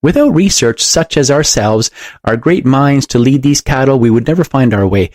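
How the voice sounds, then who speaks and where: A man's voice: on the low side, normal speed, darkish tone, dry recording, clean studio sound, lightly acted. A man voicing a pompous, zealous doctor who makes grand, uplifting speeches in an audiobook. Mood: grandiose, zealous. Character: pompous, zealous male doctor lecturing on bold experiments. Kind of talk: audiobook character voice.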